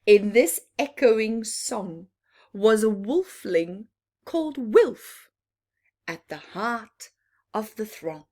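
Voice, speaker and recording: A woman reads a children's picture book as a storyteller. Her pace is extremely slow and her voice has a husky, breathy edge. She is dramatic and expressive, dropping into hushed explanatory asides. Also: medium pitch.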